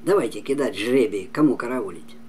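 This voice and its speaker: A man's voice: mid-range voice, animated, fairly quick, mellow. An older man voicing a bold, boastful young folk-tale warrior in a declamatory way. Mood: boastful, bossy. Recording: clearly noisy home recording.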